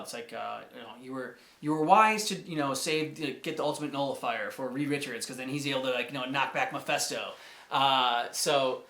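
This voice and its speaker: A man co-hosting a casual reaction show, chatting about game cutscenes in a halting, rambling way as he thinks aloud. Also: medium pitch, fairly bright tone. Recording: echoey room.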